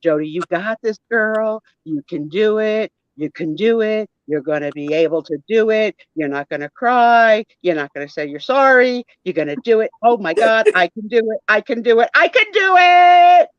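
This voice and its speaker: A woman's voice: fairly low pitch, animated, moderate pace, hardly any pauses, smooth, dry recording, dull audio, natural voice. Woman acting out a plaintive, anxious, girlish grown woman. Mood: psyching herself up. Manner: plaintive, emphatic, repeats herself. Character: anxious grown woman, girlish, clingy, scared, psyching herself up.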